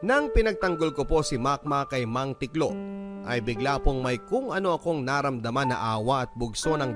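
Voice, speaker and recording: A man narrating a listener's letter in an earnest, measured storytelling manner for a radio drama. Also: medium pitch. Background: guitar music.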